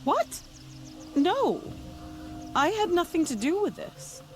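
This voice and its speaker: A woman playing an excitable, indignant cloth merchant in a video game, with an emphatic way of talking. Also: very high voice, slow pace, faint music behind, heavily acted. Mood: defensive, nervous. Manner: emphatic, exclaiming.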